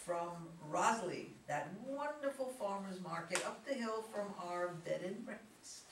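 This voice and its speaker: An affectionate suburban mom, acted by a woman in a play reading. Her pitch swings widely and expressively. The recording is roomy and distant, with some noise. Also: lively intonation, a little slow. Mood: affectionate, teasing. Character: affectionate suburban American housewife and mother, young middle-aged.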